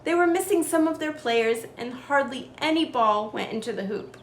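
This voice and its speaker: A woman reading a children's storybook aloud in an animated, carefully enunciated way, as a storyteller for kids. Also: high voice, moderate pace. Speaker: children's read-aloud storyteller, show host. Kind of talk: scripted storybook narration for kids. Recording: roomy echo with faint background noise.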